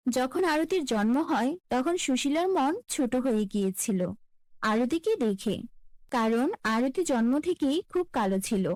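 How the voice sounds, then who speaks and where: A woman tells a scripted fairy tale in a high voice. Her delivery rises and falls with lively melody, and the recording is dry, with no room sound. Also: unhurried, no echo. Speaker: storyteller.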